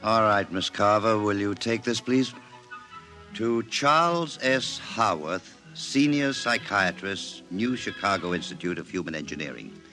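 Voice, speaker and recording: An actor playing a formal, measured psychiatrist in a 1950s radio drama, probing and clinical. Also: male voice, fairly low pitch, pushed and bright. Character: authoritative male psychiatrist dictating a case report, clinical and probing.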